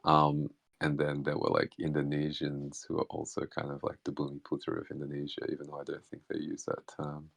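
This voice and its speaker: A man, a guest in an online interview, talking reflectively and haltingly with many fillers; his first language is Hakka Chinese. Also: very deep voice, completely flat delivery, creaky, no echo. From Australia. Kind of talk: casual reflective conversation. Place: online voice chat.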